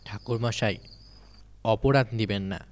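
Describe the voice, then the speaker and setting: A man's voice: on the low side, unhurried, natural voice. A man playing a rugged bandit guide in an audio story, addressing someone deferentially while giving firm instructions. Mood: polite, firm. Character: rugged male bandit guide, politely firm toward a priest. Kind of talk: audio story dramatic dialogue in Bengali.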